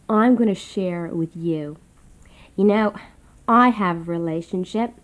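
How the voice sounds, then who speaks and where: A woman's voice: medium pitch, a little mellow, natural voice. Woman acting a glib self-help seminar leader. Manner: glib, slangy, instructing. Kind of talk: satirical radio comedy acting.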